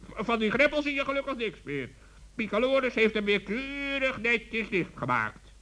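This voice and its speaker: Cheeky forest animal, high-pitched, piercingly bright, impatient, teasing, children's radio play. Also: male voice, big pitch swings, moderate pace, exaggerated voice. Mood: chatty.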